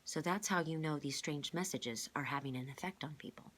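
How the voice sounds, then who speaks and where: An earnest, clever boy, acted by a woman in a children's storybook. He reasons aloud politely and curiously, in a clear, bright tone. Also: sits low, a little fast. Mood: worried. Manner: questioning, reasoning.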